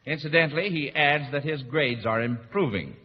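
A man's voice: a little high, normal speed. A man hosting an old radio quiz in a formal, encouraging manner. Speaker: quiz show host. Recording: muffled old radio broadcast, hall echo, audience noise.

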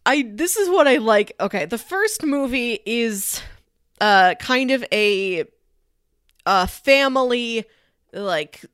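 A woman's voice: on the high side, lively intonation, slow, fairly bright tone, clean tone, dry recording, clean studio sound. A woman co-hosting a podcast, chatting casually about films and peppering her explanations with "like". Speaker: podcast co-host. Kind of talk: casual film discussion chat.

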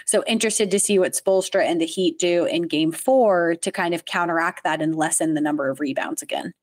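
A woman's voice: lowish voice, fairly quick, dry recording, clean studio sound. Chatty woman co-hosting a sports podcast. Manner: chatty, laughs easily, says "like" often. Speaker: podcast co-host. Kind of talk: casual sports chat.

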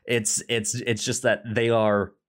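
A man co-hosting a film podcast in casual conversation, halting and often stammering over the starts of words. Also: lowish voice, little pitch movement. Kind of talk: casual movie discussion.

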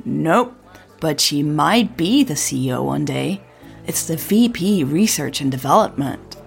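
A woman voicing an eager, persuasive male marketing contact in an audio drama, with a husky, creaky voice. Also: deep voice, expressive, measured pace, soft background music, heavily acted. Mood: teasing, pleased.

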